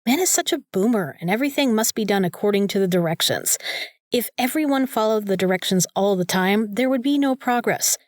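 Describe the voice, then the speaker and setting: A sarcastic, opinionated young female student played by a woman in an audio drama. She speaks emphatically and bossily at a middling pitch. The sound is close and dry, with no room around it. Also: animated, fairly quick, no echo, natural voice. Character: bossy, sarcastic young female student, vampire slayer's sidekick.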